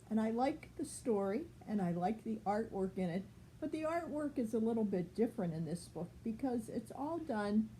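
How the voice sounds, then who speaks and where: A woman's voice: a little high, dark tone, slight background noise, low-quality recording. An older woman reading a picture book aloud as a grandmother, explaining things simply for a child with casual asides.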